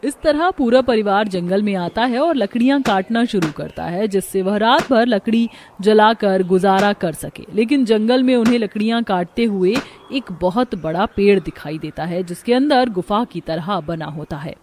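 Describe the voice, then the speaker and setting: A woman's voice: mid-range voice, normal speed, few pauses, darkish tone, a little hiss or hum. Woman narrating a cartoon moral story.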